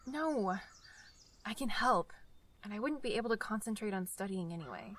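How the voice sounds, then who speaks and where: A woman's voice: medium pitch, lively intonation, normal speed, a bit bright, slight background noise, natural voice. A young woman playing a blunt, sardonic, stubborn college girl in an audio drama, with a wry, teasing manner. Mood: earnest, wry. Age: young adult.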